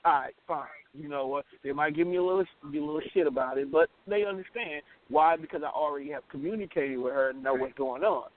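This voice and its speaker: A man's voice: on the high side, quick pace, few pauses. A man chatting casually as a talk show co-host, slangy and emphatic, repeating words to make his point. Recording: tinny phone line with hiss and noise.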